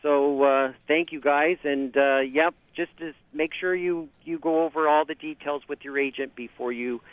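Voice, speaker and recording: A man's voice: fairly high pitch, steady tone, no echo. Canadian man on a tinny phone line. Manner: halting, many fillers and restarts. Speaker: travel agent guest. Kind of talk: phone interview on radio.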